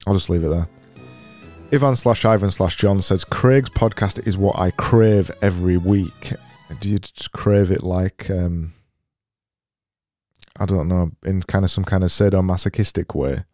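Solo podcast host, man with a Barnsley accent, deep voice, deadpan mockery. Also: measured pace, soft background music. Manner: sarcastic, deadpan self-mocking. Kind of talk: solo comedic podcast monologue.

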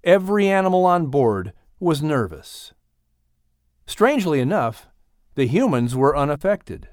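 A man narrating a children's audiobook in an expressive, scripted storytelling style. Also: on the high side, unhurried, no room sound, studio quality.

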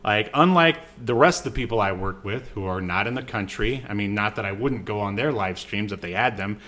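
A man's voice: lowish voice, brisk, bright, forceful tone. A man hosting a podcast in casual chat, with an easygoing, rambling way of talking. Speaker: podcast host.

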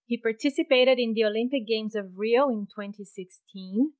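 A woman hosting a podcast interview in her home office, cheerful and quick to laugh. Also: a little high, darkish tone. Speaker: podcast host.

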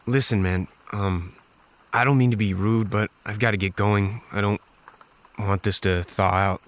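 A man's voice: fairly low pitch, steady tone, a little slow, fairly bright tone. An actor playing a reticent young man in audio drama dialogue, hesitant, curt and politely awkward.

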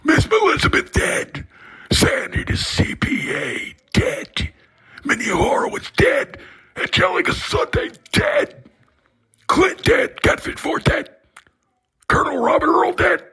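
A man cutting a creepy, slow, whispered wrestling promo with a raspy voice, taunting his rivals. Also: very high-pitched, huge pitch swings, sharp tone. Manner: slow, creepy, taunting, laughs between threats.

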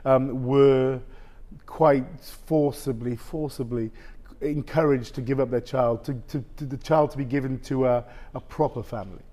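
Middle-aged male guest, halting storyteller, mid-range voice. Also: medium pitch, fairly even intonation, mellow. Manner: halting, with many restarts and "basically". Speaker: interview guest. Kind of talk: personal story in casual conversation.

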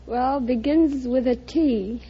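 A woman in her thirties answers plainly as a contestant on an old radio show. Her voice is high yet soft-edged and mellow, and the recording is muffled. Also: slow pace. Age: 30s. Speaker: quiz show contestant, housewife. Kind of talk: brief, plain interview answers.

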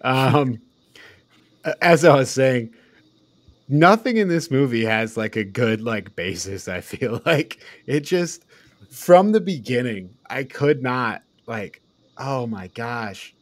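A man's voice: medium pitch, lively intonation, slow pace, no room sound. A man co-hosting a podcast, giving casual, opinionated film critique in an exasperated, incredulous manner. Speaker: podcast co-host.

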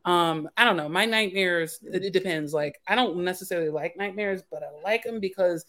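A woman's voice: lowish voice, a little fast, bright, forceful tone. A woman from Philadelphia chatting as a podcast guest. She rambles, with many fillers and restarts. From Philadelphia, USA. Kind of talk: casual podcast chat.

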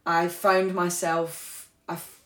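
A woman's voice: lowish voice, flat delivery, slow, echoey room. A woman guest on a podcast telling her personal story in a flowing, candid way, full of "like" and "you know". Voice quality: warm, flowing delivery with many "like" and "you know" fillers. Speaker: guest, sacred singer.

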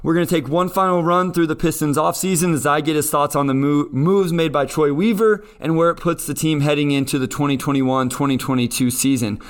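A man's voice: a little high, fairly even intonation, fairly quick, hardly any pauses. A man hosting a sports podcast in a conversational style. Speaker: podcast host.

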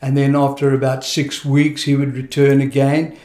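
A middle-aged man from Zimbabwe, telling his life story in a conversational interview, reflective and halting, with many fillers and restarts. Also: mid-range voice, monotone, a little mellow, echoey room. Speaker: guest.

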